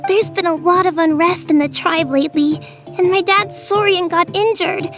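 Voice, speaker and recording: A woman's voice: very high-pitched, steady tone, normal speed. A woman voicing an earnest, anxious little village child in a video game, with a light, childlike voice. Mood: worried. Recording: music behind the voice.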